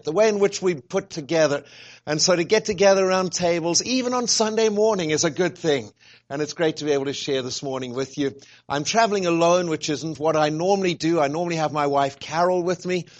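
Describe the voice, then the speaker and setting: A middle-aged man with a fairly high voice delivers a relaxed guest sermon. He talks in a chatty, joking way, full of little fillers and restarts. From grew up where rugby is played. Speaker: guest speaker, college president. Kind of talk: informal sermon talk.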